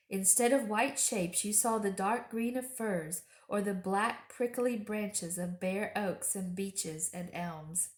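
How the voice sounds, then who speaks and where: Storytime reader, female, mid-pitched, expressive and deliberate, in a roomy, echoing space. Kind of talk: expressive children's story read-aloud.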